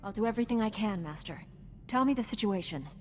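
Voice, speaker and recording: A composed, earnest young knight of the Jedi in a video game, acted by a woman. The voice is mid-pitched and firm, ringing in a long reverb over faint music. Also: expressive, quick pace, lightly acted. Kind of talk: scripted video game cutscene acting.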